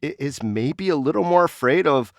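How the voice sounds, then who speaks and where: A man's voice: a little high, lively intonation, normal speed, gravelly, no room sound, clean studio sound. A man co-hosting a casual panel discussion, speaking in an opinionated way and posing rhetorical questions. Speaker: podcast co-host.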